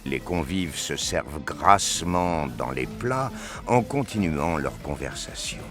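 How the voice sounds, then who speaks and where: Man, audio drama narrator, very low voice, animated intonation, music behind. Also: slow pace.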